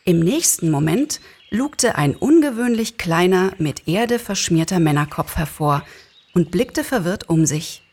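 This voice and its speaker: A woman's voice: on the low side, lively intonation, measured pace, darkish tone, slight background noise. A woman reads a scripted story as narrator in a live performance. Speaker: author and narrator, actress. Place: small theatre, live recording.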